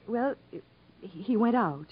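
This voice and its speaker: A woman's voice: a little high, animated, slow pace, slightly rough, heavily acted. An actress playing a devoted, worried wife and mother, tender and earnest, in a 1950s radio drama. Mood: worried, gentle. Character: devoted, anxious wife and mother, gentle and supportive. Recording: muffled, lightly hissy 1950s radio recording.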